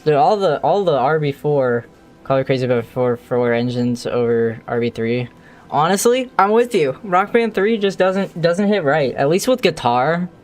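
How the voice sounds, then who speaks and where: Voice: mid-range voice, lively intonation, moderate pace, darkish tone, soft background music. A streamer talking casually while working at a computer, often muttering thoughts aloud as if to themselves. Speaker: live streamer modding a video game.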